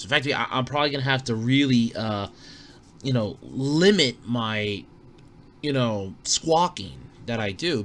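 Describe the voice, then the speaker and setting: Bright-voiced man with a scratchy throat. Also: medium pitch. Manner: rambling, frequent fillers and restarts. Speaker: podcast host. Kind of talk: casual solo podcast monologue.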